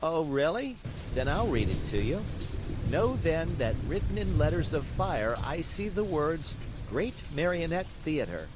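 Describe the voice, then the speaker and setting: Man doing a teasing little storybook boy, lively. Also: fairly high pitch, measured pace, noisy background, pitched above the performer's own voice. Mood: showy, helpful. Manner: teasing, dramatic. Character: mocking, sensible little village boy. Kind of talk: bedtime storybook character reading. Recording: steady rain sounds behind the voice.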